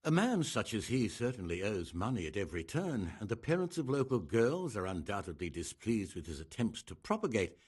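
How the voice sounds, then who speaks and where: A sardonic middle-aged medieval craftsman in a video game. His husky voice swings very widely in pitch as he delivers wordy, arch, disapproving remarks with theatrical pomp. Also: big pitch swings, fairly quick, few pauses, darkish tone. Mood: wry disdain. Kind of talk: scripted game dialogue acting.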